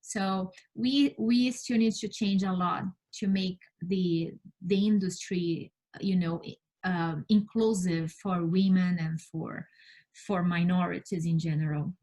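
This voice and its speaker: A woman's voice: on the low side, steady tone, slow pace, mellow, poor audio. Woman with a Brazilian Portuguese accent. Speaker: interview guest, space scientist and mentor. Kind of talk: conversational interview answers.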